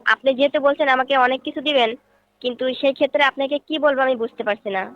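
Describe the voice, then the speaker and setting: A woman's voice: high voice, rapid-fire, dry recording, natural voice. A woman playing a courteous folk singer and troupe leader in a staged phone call, speaking in a polite, questioning, explanatory way. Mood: agreeable, bargaining. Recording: dull phone-call audio with music behind.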